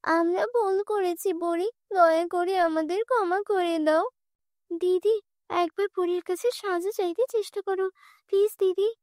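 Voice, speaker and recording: Cartoon casting: a woman as a poor little orphan girl, pleading and earnest, very high-pitched, dry sound. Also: steady tone, moderate pace, darkish tone, no echo. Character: poor young orphan girl, pleading and timid, flower seller. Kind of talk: children's cartoon dialogue.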